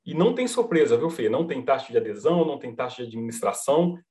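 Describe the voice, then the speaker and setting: Mineiro man, podcast guest, patient reassuring explainer, mid pitch. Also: very animated, fast, darkish tone. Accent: Mineiro Brazilian Portuguese. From Minas Gerais, Brazil. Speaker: company representative guest. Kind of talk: podcast interview, explaining a product.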